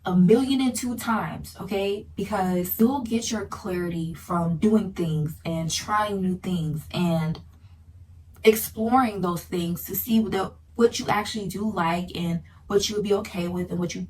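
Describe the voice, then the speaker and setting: A woman's voice: fairly low pitch, measured pace, a little mellow. A young woman in her early twenties talks casually to her followers in a girly, familiar way. Her voice sits a little away from the mic, with faint noise around it. She comes across as a vlogger sharing advice. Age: early 20s. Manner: girly, familiar address to viewers. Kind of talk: casual advice chit chat to camera. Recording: distant mic with light background noise.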